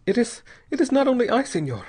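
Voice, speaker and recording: A man's voice: very high-pitched, very animated, a little mellow, slightly rough, pitched above the performer's own voice, full character voice. A man voicing a desperate young mother who pleads and chokes on her words. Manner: pleading, halting, choking on words. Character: desperate young mother, Spanish-speaking woman, panicked.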